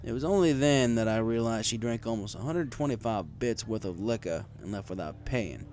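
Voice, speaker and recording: A man's voice: fairly low pitch, expressive, moderate pace, darkish tone, slight background noise. A man reading a scripted first-person story in the manner of an audiobook narrator. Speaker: story reader.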